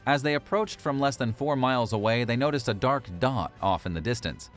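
A man's voice: medium pitch, fairly quick, soft background music. A man reading scripted documentary-style narration for a list video.

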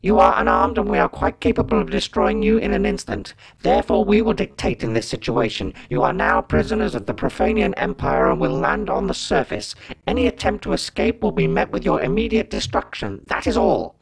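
Creaky, commanding alien officer. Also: male voice, high voice, very animated, a little fast, few pauses, voice pushed up, full character voice, poor audio. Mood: cold threat. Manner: stiff, commanding, formal. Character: officious, menacing alien empire officer giving orders.

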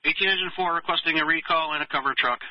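A man's voice: fairly high pitch, quick pace, highs cut off, a little hiss or hum. A man working as a fire dispatcher, giving clipped, formal, procedural announcements and read-backs over emergency radio. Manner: procedural, reads units back. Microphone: dispatch radio transmission.

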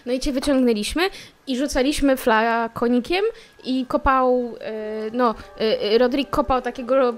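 High-voiced woman playing a tabletop game. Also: steady tone, normal speed. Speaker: player. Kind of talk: casual in-character tabletop roleplay.

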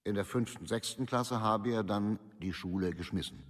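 Radio play official, man played by an actor, lowish voice, impersonal scripted reading, long reverb. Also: close to an everyday voice. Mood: detached, formal. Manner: detached, formal, deliberate quote marking. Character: formal official reading out an interrogation record.

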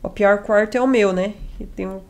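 A young woman hosting a gaming channel, chatting casually through a let's play on a headset mic, still a little hoarse from a cold. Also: medium pitch, steady tone, moderate pace. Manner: chatty, jokey asides to the game characters. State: recovering from a cold, slightly hoarse.

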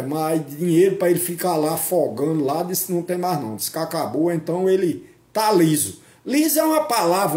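A man's voice: high-pitched, dark tone, roomy sound. An older man from Northeast Brazil vlogging outdoors into a phone, chatty and mocking, tagging his sentences with questions. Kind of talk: casual political commentary vlog. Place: outdoors on a tree-lined park road. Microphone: phone camera at arm's length.

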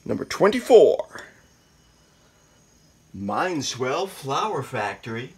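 A man showing his handmade flower sculptures, muttering slowly and now and then breaking into excited exclaims, sometimes whispering, filmed in a dark blacklit room. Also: mid-range voice. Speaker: artist showing his work. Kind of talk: casual off-the-cuff show-and-tell, partly whispered.

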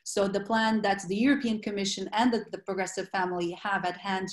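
A woman's voice: mid-range voice, level tone, fairly quick. A woman moderating a formal online event, polite and using many filler sounds. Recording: roomy room sound.

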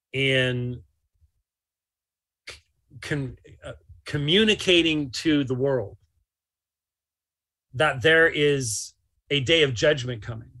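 A man's voice: medium pitch, bright, forceful tone. A man in his fifties giving live religious commentary, emphatic and incredulous, repeating phrases for stress. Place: small motorhome interior.

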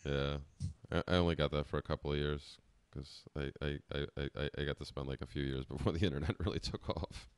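Very deep smooth-voiced man, late thirties. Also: fairly even intonation, brisk, no echo. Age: late 30s. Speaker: podcast co-host. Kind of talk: casual chat.

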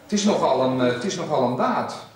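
A man discussing a rehearsal, animated but halting, recorded in an echoing, noisy room from a distance. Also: on the high side.

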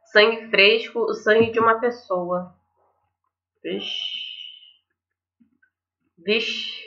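Bright-voiced young Brazilian woman gaming. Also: fairly high pitch, fairly even intonation, drawn-out words. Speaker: gaming channel host. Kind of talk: reading a visual novel aloud with casual asides. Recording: dull lo-fi microphone, faint music. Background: faint game music.

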